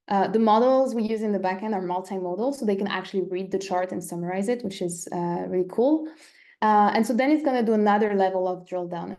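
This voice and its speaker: A woman's voice: medium pitch, warm tone. A woman presenting a technical webinar demo, explaining things step by step in a conversational way. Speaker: presenter giving a product demo.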